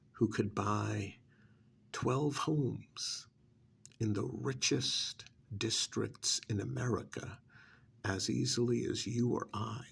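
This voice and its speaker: A man's voice: medium pitch, vocal fry, fairly bright tone, husky, heavily acted. A man doing an ASMR role-play as a cynical, persuasive recruiter for vampires, sardonic and full of prodding rhetorical questions. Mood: wistful, persuasive.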